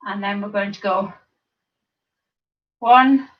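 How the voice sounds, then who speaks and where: A woman over fifty with a croaky voice, leading a seated exercise class, counting reps and adding chatty asides. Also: medium pitch, steady tone, slow. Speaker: fitness instructor. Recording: distant mic, dull lo-fi sound.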